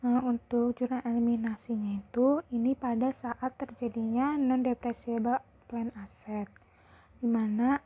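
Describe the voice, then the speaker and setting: Soft-toned husky young woman. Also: a little high, fairly even intonation, measured pace, lo-fi sound. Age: young adult. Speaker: student presenter. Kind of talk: explanatory class presentation.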